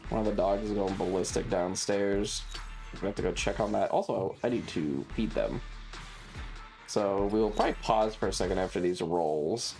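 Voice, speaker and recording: A livestreamer chatting casually while gaming, rambling and halting, with a wry, sarcastic manner. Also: sits low, darkish tone. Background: music.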